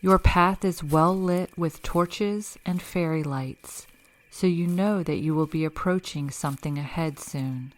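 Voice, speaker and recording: A woman leading a guided meditation in a calm, measured, instructional way, reading scripted narration. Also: on the low side. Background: background music.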